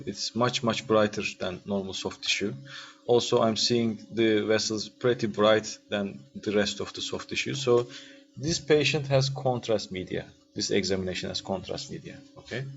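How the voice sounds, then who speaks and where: A man with a Turkish accent giving an informal, interactive lecture in English as a second language, with hesitant phrasing and frequent checking questions. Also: medium pitch. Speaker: radiology lecturer. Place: online video-call class. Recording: dull lo-fi online call, faint noise.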